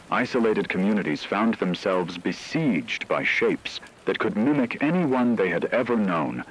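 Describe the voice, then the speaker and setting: A low-pitched man delivers scripted apocalyptic sci-fi narration. His delivery is grave and measured, in a dramatic storytelling style. There is noticeable rain and noise behind his voice. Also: a bit bright, poor audio.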